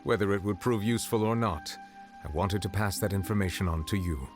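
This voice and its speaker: Guy voicing a very low-voiced stately judge, slow and formal, soft music behind. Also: lightly acted. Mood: measured. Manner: formal, measured, deliberate. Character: dignified male chief justice, measured and formal. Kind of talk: game dialogue. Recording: game dialogue with faint music behind.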